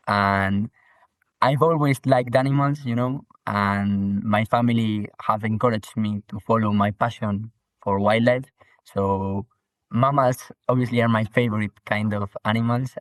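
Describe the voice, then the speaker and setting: Low-voiced teen guest from Spain, dry sound. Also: male voice, dry recording. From northern Spain. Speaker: podcast guest. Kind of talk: casual chat. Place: video call.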